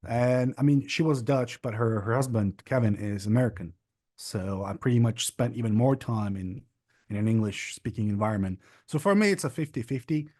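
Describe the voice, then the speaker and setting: Casting: young guy, chatty and rambling, mid-pitched voice, dry close sound. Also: moderate pace, a little mellow, no echo. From Czech Republic. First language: Czech. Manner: rambling, restarts and stacks of fillers. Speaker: podcast guest. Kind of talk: casual interview chat.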